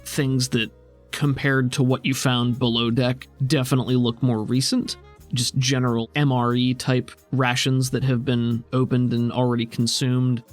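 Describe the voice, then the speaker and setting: A man's voice: mid-range voice, little pitch movement, normal speed, faint music behind. A man narrating a tabletop role-playing game as game master, describing scenes in a conversational, explanatory way.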